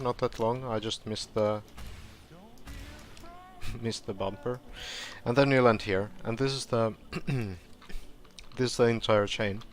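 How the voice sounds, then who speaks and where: A man casually talking through a game walkthrough, relaxed and rambling with fillers, his voice going hoarse. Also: medium pitch. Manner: relaxed, rambling, with frequent fillers and self-corrections. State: hoarse, clearing his throat. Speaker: gameplay tutorial streamer. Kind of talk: casual gameplay walkthrough commentary.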